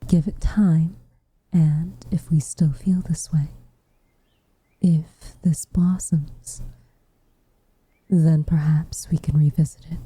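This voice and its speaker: A woman playing a reserved, tenderly affectionate witch in a whispered ASMR roleplay, with soft breathy delivery and measured, formal phrasing. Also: low-pitched, steady tone, no echo, heavily acted. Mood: gentle, tentative.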